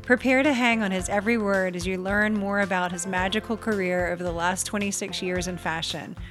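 A woman's voice: medium pitch, fairly even intonation, a little fast, a bit bright, faint music behind. A woman hosting an interview podcast, gushing and friendly as she greets and questions her guest. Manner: gushing, friendly, laughs often. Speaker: podcast host.

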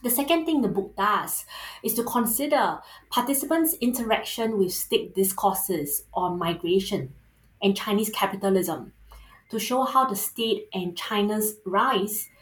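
A woman's voice: medium pitch, measured pace. Woman, singaporean accent, academic guest, thoughtful with filler words, echoey room. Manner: thoughtful, with many ums and uhs. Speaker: author and sociologist guest. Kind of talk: academic interview answers.

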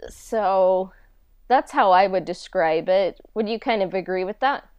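A woman's voice: medium pitch, unhurried, a little mellow, clean tone, no echo, lo-fi sound. A woman co-hosting a podcast in casual chat, informal and self-effacing in the way she talks. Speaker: podcast co-host and audio drama creator.

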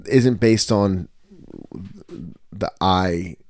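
A man's voice: deep voice, very slow, a little mellow. A young man co-hosting a podcast in casual chat, telling stories animatedly and acting out quotes in different voices. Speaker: podcast co-host.

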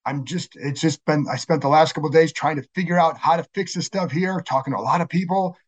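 A man's voice: fairly high pitch, fast, breathy edge. Scratchy-voiced man hosting a podcast. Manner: conversational, self-correcting, many fillers. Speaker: podcast host. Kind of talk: solo sports podcast intro.

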